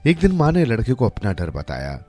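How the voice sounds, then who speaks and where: A man reading scripted moral tales as a storyteller, in a measured, expressive way. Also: mid-range voice. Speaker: storyteller narrator. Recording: music under the voice.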